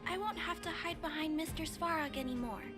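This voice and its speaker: A woman voicing a gentle, earnest young girl in a video game, polite and sincere. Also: very high-pitched, fairly quick. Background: game music.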